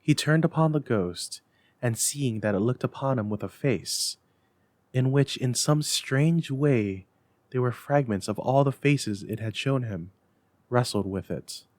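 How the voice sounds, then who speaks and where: A man reads as a storyteller in a dramatic, scripted style. His voice sits at a medium pitch and his delivery is expressive and emphatic. The recording is dry. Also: unhurried, no echo. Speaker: library staff story reader.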